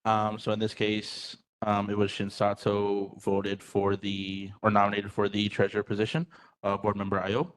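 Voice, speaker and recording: A man's voice: fairly low pitch, monotone, moderate pace, smooth, low-quality recording. A man running a roll-call vote at a public meeting, apologetic and self-correcting.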